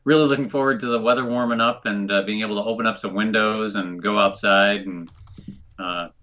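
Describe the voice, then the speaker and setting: A man's voice: lowish voice, fairly even intonation, fairly quick, pushed and bright, muffled recording. A man co-hosting a podcast with a nasal, stuffed-up voice, chatting casually. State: stuffed-up nose. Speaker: podcast co-host.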